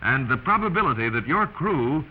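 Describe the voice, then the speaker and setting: An American man reading scripted instructional narration in the deliberate manner of a 1940s training film. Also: fairly high pitch, very animated. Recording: dull noisy 1940s film soundtrack.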